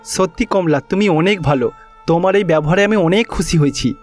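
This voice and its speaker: A man's voice: high voice, a little fast, natural voice. A man playing a kindly, earnest village headman who is troubled for his people, in a cartoon story. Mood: grateful, resolved. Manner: earnest, caring. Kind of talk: cartoon story dialogue acting. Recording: music behind the voice.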